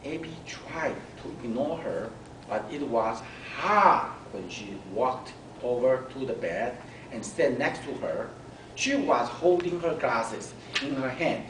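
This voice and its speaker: A man reading a children's story aloud in a deliberate, expressive way, pausing between phrases. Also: mid-range voice. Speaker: storyteller at a speaking club. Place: club meeting room. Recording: echoey room, distant mic, background noise.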